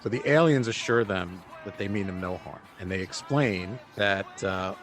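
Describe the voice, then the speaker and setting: A man's voice: low voice, normal speed, soft background music, lightly acted. A man playing a casual, jokey road-trip companion telling a story in a meandering way in a radio drama.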